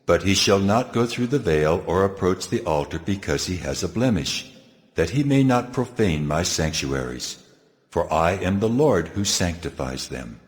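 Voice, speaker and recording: Deep, echoing, commanding God. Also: male voice, expressive, normal speed. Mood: solemn, commanding. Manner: solemn, declamatory. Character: God, a solemn commanding male deity. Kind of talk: dramatized scripture reading. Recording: long cavernous reverb, music underneath. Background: music.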